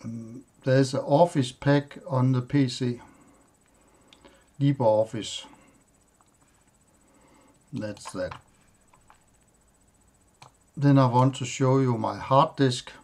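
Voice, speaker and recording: A man whose first language is Danish, hosting a tech tutorial and walking through his computer setup in a plain, halting way, listing items with pauses and fillers. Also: medium pitch, steady tone, darkish tone. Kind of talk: screen-recorded software walkthrough.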